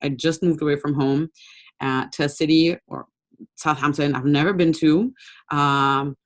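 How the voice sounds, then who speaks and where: Young woman giving a relaxed webinar talk. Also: deep voice, a little slow. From Brazil. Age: young adult. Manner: relaxed, conversational, with frequent ums. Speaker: webinar presenter. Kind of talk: informal talk with slides.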